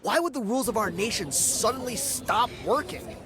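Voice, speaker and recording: A man's voice: high-pitched, very animated, normal speed, over music. A man voicing a mocking, rabble-rousing agitator in a video game. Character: sneering male crowd agitator. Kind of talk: game voice acting.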